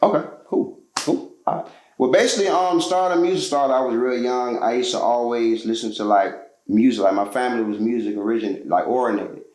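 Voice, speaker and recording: A man's voice: medium pitch, a little fast, darkish tone, echoey room, low-quality recording. A man from Georgia, a musician telling his story as a guest in a casual interview, loose and slangy with lots of fillers.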